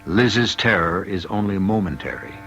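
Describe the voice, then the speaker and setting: A man narrating a scripted old-time radio drama as its host, in theatrical, formal, deliberate phrasing. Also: low voice, lively intonation, vocal fry, pushed and bright, faint music behind.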